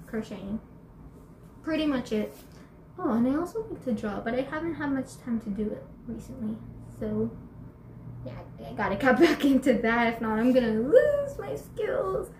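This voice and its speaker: Give me a bubbly teenage girl with a high voice rambling through a home vlog, recorded in a roomy space. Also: darkish tone, a little hiss or hum. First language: Spanish and English. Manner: bubbly and chatty, laughs often, many fillers and restarts. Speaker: YouTuber. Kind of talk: casual Q&A vlog. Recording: echoey room, faint ambience.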